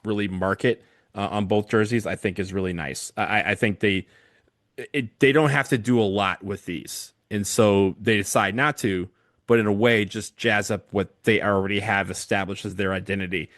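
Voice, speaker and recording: A man's voice: lowish voice, fairly even intonation, normal speed, fairly bright tone, dry recording, clean studio sound. A man co-hosting a podcast, talking casually about football kits in a hesitant way with many fillers and restarts. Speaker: podcast co-host. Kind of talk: casual chat.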